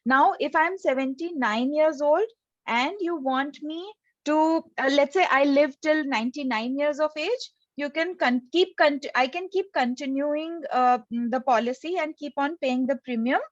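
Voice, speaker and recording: A woman's voice: high-pitched, normal speed, no room sound, dull audio. A woman presenting an insurance scheme on a video call, explaining step by step with many fillers and hesitations.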